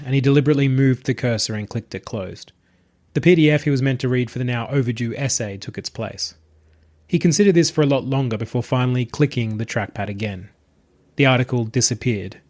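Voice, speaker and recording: Male short story narrator, medium pitch. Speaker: narrator and podcast host.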